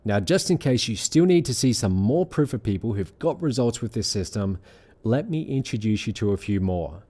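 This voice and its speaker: A man reading a scripted sales pitch as presenter, in an earnest, measured, persuasive manner. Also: lively intonation, dark tone. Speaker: presenter of an online money-making sales pitch.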